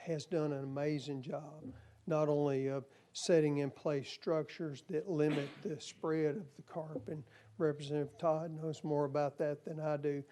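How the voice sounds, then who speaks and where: A man's voice: fairly high pitch, warm tone. A man testifying at a legislative hearing, earnest and folksy, and he is losing his voice. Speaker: commission nominee.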